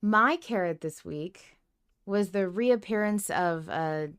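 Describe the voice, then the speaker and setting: A woman co-hosting a fan discussion podcast, chatting casually in an emphatic, expressive, sweary way. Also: mid-range voice, slow, clean tone. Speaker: podcast co-host.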